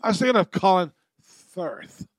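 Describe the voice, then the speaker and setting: A man's voice: sits high, expressive, moderate pace, no room sound, clean studio sound. A man hosting a comedy podcast in casual banter, handing down mock-serious pronouncements. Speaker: podcast host.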